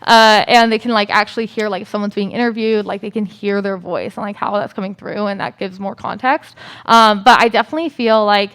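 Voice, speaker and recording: A woman's voice: medium pitch, fairly even intonation, a little fast, a bit bright, clear, resonant tone. A young woman, a student guest, chatting casually and peppering her talk with "like". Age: young adult. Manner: informal, peppered with "like". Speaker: student guest, political science and Spanish major. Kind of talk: casual chat.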